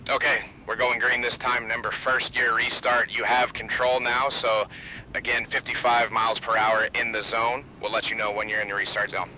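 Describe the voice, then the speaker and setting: A man playing a NASCAR crew chief on simulated team radio, steady and businesslike, giving clipped procedural instructions over a radio filter. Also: mid-range voice, normal speed, rough recording. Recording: radio chatter over car noise.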